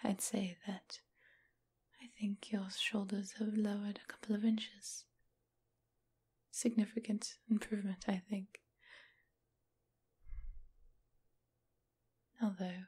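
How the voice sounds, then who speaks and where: A woman playing a teasing, doting, seductive vampire mistress in a whispered, breathy ASMR roleplay. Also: mid-range voice, dark tone. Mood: teasing, soothing. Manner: slow, teasing, affectionate purr.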